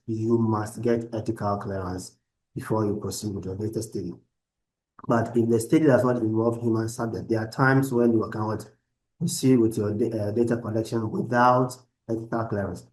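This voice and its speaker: A man lecturing online from his laptop, explaining research methods in a halting way with many restarts. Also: medium pitch, a little mellow, low-quality recording. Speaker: university lecturer. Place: at a laptop on a video call. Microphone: laptop microphone.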